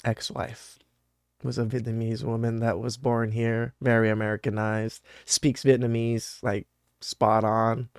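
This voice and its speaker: A man's voice: mid-range voice, level tone, measured pace, clear, resonant tone, no room sound, clean studio sound. Blunt, cussing male podcast host. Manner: blunt and profane, with many restarts and fillers. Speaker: podcast host reacting to clips. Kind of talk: casual reaction commentary.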